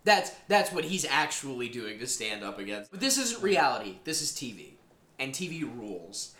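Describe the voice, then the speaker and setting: Animated podcast co-host, echoey room. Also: male voice, medium pitch, moderate pace. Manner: animated, quotes characters in voices. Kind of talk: casual TV recap chat.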